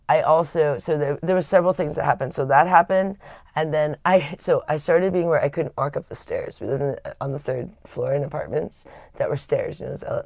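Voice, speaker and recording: A woman with a raspy voice, hosting a livestream and telling a personal story in a candid, rambling way with frequent restarts. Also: low voice, a little mellow, no echo, studio quality. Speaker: livestream host.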